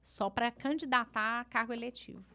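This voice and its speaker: Woman, regional Brazilian accent, higher voice, chatty seminar lecturer. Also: sharp tone. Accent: Brazilian Portuguese, regional. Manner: chatty, self-deprecating, fast restarts. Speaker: law lecturer. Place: conference hall.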